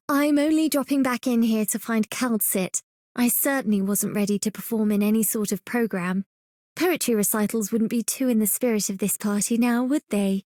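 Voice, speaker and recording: A woman's voice: fairly high pitch, normal speed, a little mellow, dry recording, clean studio sound, lightly acted. A woman voicing a prim, wry, genteel lady in a game, polite and proper. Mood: politely wry. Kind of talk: game character voice line.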